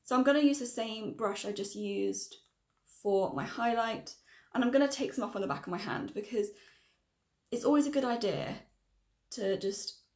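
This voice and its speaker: Woman, thirties, fairly high pitch, croaky failing voice, chatty self-correcting tutorial delivery, echoey small room. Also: normal speed. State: croaky, losing her voice. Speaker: makeup tutorial vlogger. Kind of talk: casual makeup tutorial chat to camera.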